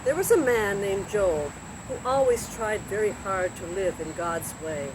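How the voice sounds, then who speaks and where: A woman hosting a Bible story time, reading a children's storybook aloud in simple, deliberate phrases with expressive emphasis. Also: mid-range voice. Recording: very noisy recording with steady background noise.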